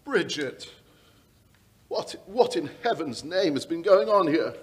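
Casting: actor as baffled lord and husband, old radio drama, slightly high male voice. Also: lively intonation, takes it slowly. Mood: bewildered, shocked. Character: bewildered, shocked titled gentleman husband. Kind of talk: 1940s radio acting.

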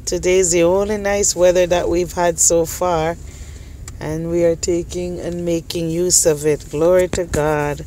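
A middle-aged woman filming from inside a car going through a car wash, exclaiming with excitement and praising God. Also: lowish voice, a little slow, rough recording. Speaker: vlogger filming her husband. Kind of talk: casual phone vlog. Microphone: phone held at arm's length. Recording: loud car wash noise.

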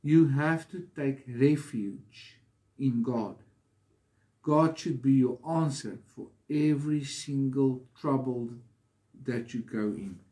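A man preaching a devotional sermon in an earnest, deliberate manner, pausing between short phrases. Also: mid-range voice, dark tone. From South Africa.

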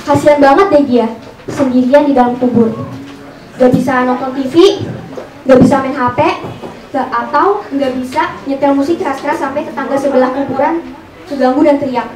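High-voiced young woman, echoey stage. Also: steady tone, normal speed, distant mic, heavy background noise. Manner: performed storytelling with repeated punchlines. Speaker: stand-up comedian. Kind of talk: stand-up comedy routine. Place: small indoor comedy venue with audience. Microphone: handheld mic through speakers, recorded from audience. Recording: echoey room, off-mic camera audio.

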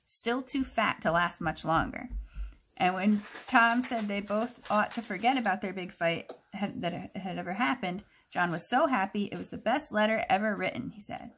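Female, teacher doing an expressive picture-book read-aloud, mid-pitched, clear explanatory delivery for kids. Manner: expressive, explanatory, pauses to question listeners.

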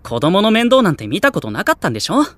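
A man's voice: sits high, wildly swooping pitch, fast, a bit bright, dry recording, full character voice. A man playing an easygoing, weary teenage boy in an anime audio drama, mild and casual but easily flustered. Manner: mild, casual, flustered when put on the spot. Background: music.

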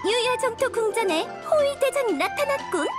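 A woman's voice: very high voice, expressive, moderate pace. A woman voicing a haughty, theatrical young princess in an anime game, speaking in grand, archaic phrases. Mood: grandiose. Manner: pompous, grand old-fashioned phrasing. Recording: music behind the voice.

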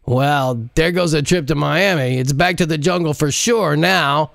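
A man's voice: fairly high pitch, measured pace, vocal fry, a bit bright, no room sound, clean studio sound, voice pushed up. A man voicing a cheeky young male assistant who teases in a mocking, sing-song way. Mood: wry.

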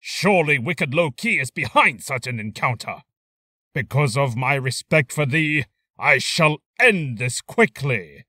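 A man's voice: fairly high pitch, pushed and bright, no echo, studio quality, heavily acted. A man voicing a noble thunder god in grand, formal, archaic speech for a voice reel. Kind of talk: character voice reel.

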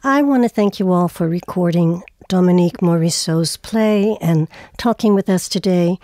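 A woman's voice: lowish voice, moderate pace, warm tone, dry recording, studio quality. A woman hosting a radio show in a presenter's style. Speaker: radio host. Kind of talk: interviewing and scripted radio credits.

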